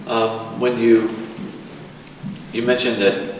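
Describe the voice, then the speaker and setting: A man interviewing a veteran, asking questions hesitantly and with pauses. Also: medium pitch, little pitch movement, slight background noise. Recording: distant echoey hall recording.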